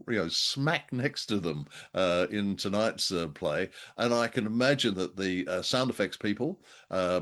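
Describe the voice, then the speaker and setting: A man's voice: on the low side, a bit bright. An Australian man co-hosting a casual radio chat show, speaking in a wry, jovial, hesitant and wordy way. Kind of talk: casual conversational review of old radio drama.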